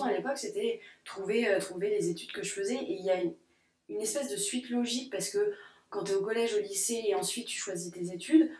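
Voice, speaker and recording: A woman's voice: medium pitch, a little mellow. A woman in her late twenties co-hosting a casual discussion, hesitant and often restarting her sentences. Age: late 20s. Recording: roomy echo.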